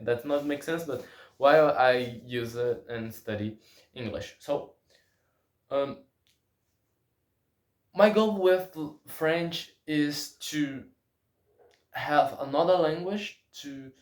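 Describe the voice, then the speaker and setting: Young guy, portuguese is his first language, talking english slow and halting, echoey room. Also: medium pitch. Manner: halting, searches for words in a second language. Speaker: language-learning vlogger. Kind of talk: unscripted personal monologue.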